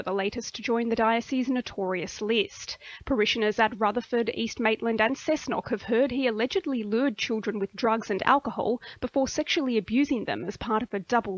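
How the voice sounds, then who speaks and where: Higher-pitched Australian woman reading radio news. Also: no echo, highs cut off. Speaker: radio newsreader.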